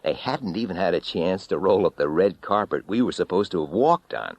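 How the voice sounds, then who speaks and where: A man with a deep voice reads a first-person story dramatically. His intonation moves widely, brooding and expressive, with weighted pauses. The sound is very dry, with no room around it. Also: no room sound. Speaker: audiobook reader.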